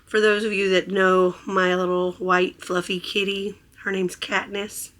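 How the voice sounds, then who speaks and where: A hoarse woman who has nearly lost her voice, chatting in a casual, gushing vlog aboard a cruise ship. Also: mid-range voice, little pitch movement, measured pace, a bit bright. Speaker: vlogger. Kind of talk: casual travel vlog chat.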